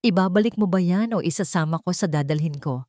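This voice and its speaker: A Filipino woman voicing a weary, coldly defiant wife and mother in an audiobook drama, calm and pointed. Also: on the low side, a little fast, no echo, studio quality, natural voice.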